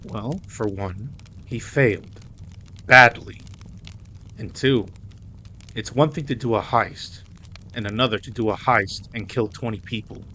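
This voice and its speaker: A man's voice: lowish voice, measured pace, long pauses, pushed and bright, slight background noise. A man playing a protective, easygoing mafia boss with a wry streak in an audio roleplay. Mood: incredulous.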